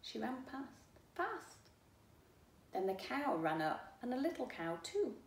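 Expressive woman reading in an echoey room. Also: on the high side, distant mic. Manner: expressive, deliberate, rhythmic reading. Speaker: storyteller. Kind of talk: children's book read-aloud. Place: living room at home. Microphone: camera a few feet away. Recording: echoey room, faint background noise.

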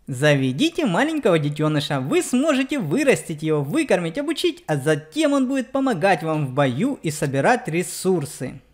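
A woman's voice: lowish voice, sing-song, normal speed, hardly any pauses. A woman giving explanatory game commentary for children, speaking directly to her young viewers. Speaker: let's play narrator on a children's channel.

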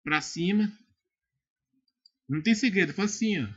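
A Brazilian man giving a casual, informal screen-recorded software tutorial, often checking that the listener follows. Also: high voice, normal speed, breathy edge, dull audio. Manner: informal, repetitive check-in phrases. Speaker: tutorial maker. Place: indoors at a computer.

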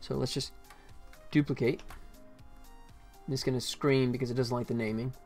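A man's voice: medium pitch, little pitch movement, normal speed, a little mellow, faint music behind. A middle-aged man gives casual live commentary as a game developer, thinking aloud in short fragments. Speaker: game developer livestreaming his work.

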